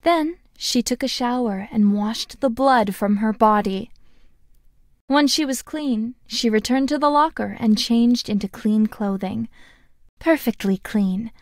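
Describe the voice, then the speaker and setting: A woman voicing a cheerful, friendly schoolgirl in a video game, speaking in a sweet, gentle and deliberate way. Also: on the high side, no room sound, studio quality. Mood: calm storytelling. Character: cheerful, friendly anime schoolgirl narrating a play. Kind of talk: game tutorial voice acting, storytelling.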